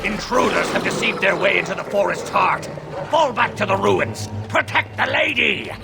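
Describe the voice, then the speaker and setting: An actor voicing an embattled forest creature in a video game. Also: male voice, high-pitched, normal speed, vocal fry, very noisy. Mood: alarmed, urgent. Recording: loud game music over the voice.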